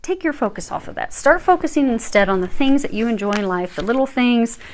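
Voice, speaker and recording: A woman giving a casual tarot reading, gentle and reassuring, with frequent fillers and restarts. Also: on the high side, animated, fairly quick, slight background noise. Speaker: tarot reader.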